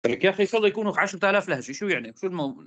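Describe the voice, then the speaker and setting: A man's voice: sits high, animated, fairly bright tone, no room sound, dull audio. A man arguing hard in a religious debate, insistent and repetitive. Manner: rapid, insistent, argumentative, repeats demands.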